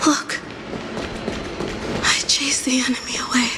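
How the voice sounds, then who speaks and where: A woman voicing a tender, dying young female soldier in a game cutscene, weak and breathy. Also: high voice, level tone, a little slow, whispered. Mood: tender, fading. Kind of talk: dramatic video game cutscene acting. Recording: clear background noise.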